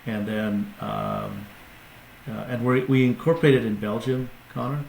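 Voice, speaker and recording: A man's voice: medium pitch, big pitch swings, measured pace, creaky, darkish tone, a little hiss or hum. A man, a company CEO, talking casually as a podcast guest, explaining at length with restarts and fillers. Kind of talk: casual interview talk. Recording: echoey room with faint ambience.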